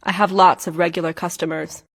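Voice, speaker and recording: Woman, scripted lesson dialogue, clear measured reads; long hall reverb on the track. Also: fairly low pitch, level tone, fairly bright tone. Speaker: dialogue reader in English lessons.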